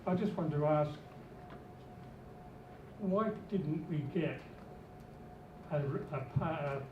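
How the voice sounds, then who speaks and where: An older man with a hoarse voice, speaking as a resident at a public meeting, blunt and rambling. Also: a little high, slow pace, far from the mic, muffled recording. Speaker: resident asking questions. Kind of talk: public meeting question from the floor. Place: civic centre meeting hall. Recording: echoey hall, noisy audience.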